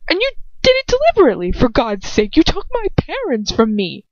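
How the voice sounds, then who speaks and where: A woman's voice: high voice, wildly swooping pitch, a little fast, dry recording, voice pushed up. Woman playing a wounded, defiant young witch. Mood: hurt, accusing. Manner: shaky, whispering, accusing. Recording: dull, lo-fi, dry home recording.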